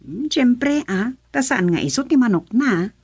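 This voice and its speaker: Outspoken grown daughter for Ilocano radio drama woman actor: emphatic, colloquial, higher register. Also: lively intonation, a little slow, a little mellow, breathy edge, dry recording, heavily acted. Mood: knowing. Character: outspoken grown daughter talking with her mother.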